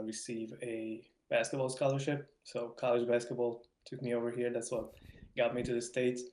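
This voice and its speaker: A young man with a Hungarian accent talks as a guest in a casual interview. His voice sits at a middle pitch with a noticeably roomy echo around it, and he often doubles back on words as he explains things. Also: a little mellow. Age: young adult. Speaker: podcast guest. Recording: roomy, echoing voice.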